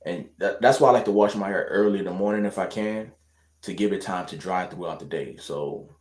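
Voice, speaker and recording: A man's voice: deep voice, a little fast, darkish tone, roomy sound. A man from Louisiana with a light Louisiana accent, chatting casually on a livestream and answering viewer questions in a rambling, laid-back way full of fillers.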